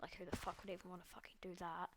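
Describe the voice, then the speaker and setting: A woman's voice: on the low side, level tone, very fast, a bit bright, slight background noise. A young Australian woman giving casual, rambling commentary over her gameplay, full of ums and likes and the odd swear. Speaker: gaming video creator.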